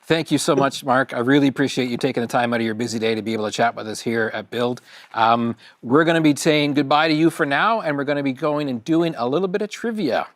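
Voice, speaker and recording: A man's voice: medium pitch, quick pace, no room sound. A man co-hosting a live tech event stream, easygoing and chatty, with plenty of fillers. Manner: easygoing, filler-heavy chat. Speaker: event co-host.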